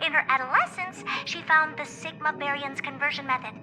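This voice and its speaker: A woman's voice: sits high, a little fast, lightly acted. A woman voicing a proud, eccentric, youthful female genius scientist in a video game, self-assured and theatrical, delivering scripted monologues. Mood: proud, matter-of-fact. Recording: music behind the voice. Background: music.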